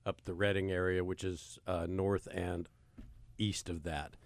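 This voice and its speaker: A man with a deep, low voice co-hosts a radio show. The sound is dry and close. He banters casually, joking and rambling as he goes. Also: flat delivery, measured pace, no echo. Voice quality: deeper than his usual nasal voice.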